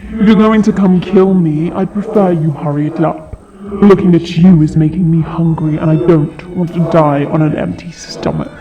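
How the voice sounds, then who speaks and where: Man voicing a high-pitched, haughty, sardonic dragon. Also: steady tone, moderate pace, muffled recording, background noise, lightly acted. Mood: mocking. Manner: sarcastic, taunting, theatrical delivery. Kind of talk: audio roleplay acting. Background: fantasy game-style music.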